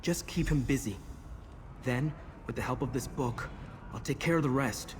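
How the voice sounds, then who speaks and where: An earnest, determined young man from a fantasy game, played by a male actor. His mid-pitched voice is serious and measured, with faint ambient noise behind it. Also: natural voice. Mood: resolute. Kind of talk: fantasy video game dialogue.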